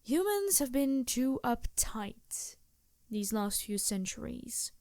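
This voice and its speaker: A woman acts out a haughty succubus with a highish voice, recorded close and dry. She draws her words out slowly, flirtatious and vain, in an intimate ASMR roleplay style. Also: very animated, darkish tone, no room sound, full character voice. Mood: exasperated, scheming. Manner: languid, flirtatious, theatrical, sighing. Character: vain, seductive succubus demon, haughty and teasing. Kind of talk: ASMR roleplay, improvised character acting.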